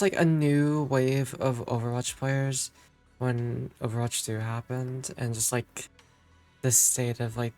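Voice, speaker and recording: Man, casual live streamer, hesitant rambling chat, medium pitch, background music. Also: darkish tone. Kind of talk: casual chat with viewers while gaming. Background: game music.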